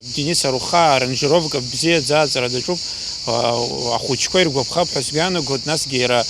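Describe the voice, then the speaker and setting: A man giving unscripted interview answers, halting as he searches for his words. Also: medium pitch, very noisy.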